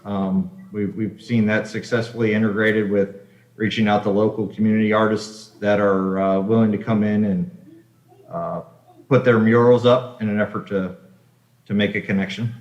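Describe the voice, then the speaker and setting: A man speaking as an architect at a public meeting, answering questions in halting, hesitant phrases. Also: fairly low pitch, fairly even intonation, a little mellow. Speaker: architect presenting for an applicant. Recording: echoey, dull video-call audio.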